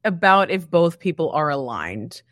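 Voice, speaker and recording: A woman in her thirties with an American accent and a slightly low voice, recorded dry and close. She chats casually as a podcast co-host and comes across as blunt and wry. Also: sing-song, moderate pace, no echo. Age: 30s. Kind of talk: casual chat.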